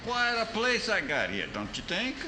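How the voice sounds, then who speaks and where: An actor with an American accent playing a smooth, sly gangster boss in a radio crime drama, coy and mock-innocent. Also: male voice, sits high, huge pitch swings, moderate pace, echoey room, noisy background. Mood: smug, boastful. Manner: coy, mock-innocent tough-guy talk. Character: smooth, sly Chicago jukebox-king gangster who hates newspapers.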